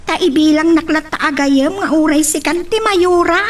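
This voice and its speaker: A woman's voice: high-pitched, normal speed, recorded from a distance, heavy background noise, close to an everyday voice. A woman acting in a comedic radio drama as a chatty, playful, gossipy friend.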